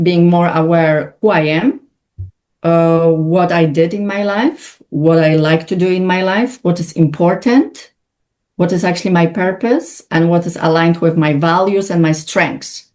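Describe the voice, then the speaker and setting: A woman's voice: fairly low pitch, darkish tone. A woman from Poland, speaking as an interview guest in a hesitant way with many filler sounds. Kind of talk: conversational interview.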